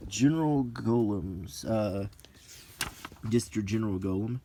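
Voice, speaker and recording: A middle-aged man giving casual, unscripted commentary as he leafs through a book, rambling and halting, musing aloud in short phrases. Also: on the low side, dark tone, a little hiss or hum. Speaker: host of a hobby channel.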